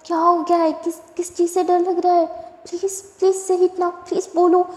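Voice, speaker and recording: A woman voicing an anxious, caring young woman who softly pleads and soothes, repeating herself. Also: sits high, fairly even intonation, normal speed, natural voice. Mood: pleading. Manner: soft, pleading, repeats itself. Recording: echoey reverberant room.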